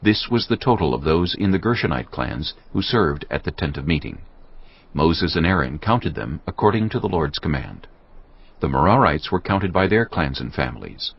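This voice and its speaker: Male narrator, low husky voice, solemn measured scripture reading, slightly muffled recording. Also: big pitch swings. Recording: slightly dull, muffled sound.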